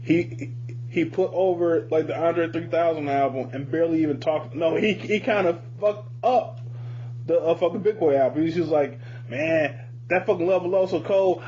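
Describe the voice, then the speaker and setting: A man with a fairly high voice talks casually as a podcast co-host. He feels his way haltingly through sentences, repeating words and swearing often. The audio is slightly muffled, with a faint hum underneath. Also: darkish tone. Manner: halting, repetitive, profane. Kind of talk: casual music discussion.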